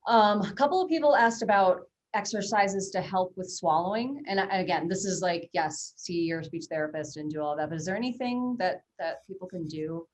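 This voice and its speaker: A woman's voice: lowish voice, normal speed, hardly any pauses. A woman hosting a webinar, reading viewer questions aloud with frequent fillers. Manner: friendly, reads questions with many fillers. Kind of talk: casual webinar Q&A.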